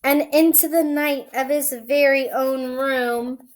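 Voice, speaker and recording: A woman reading a children's picture book aloud expressively, drawing out phrases and adding simple asides for young listeners. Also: sits high. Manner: expressive, drawn-out delivery. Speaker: storybook reader.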